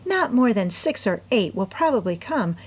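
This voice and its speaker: Woman voicing a genteel, self-assured teenage girl, higher-pitched. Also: sing-song, normal speed, husky. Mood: insistent, proper. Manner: airy, important, sweetly persuasive.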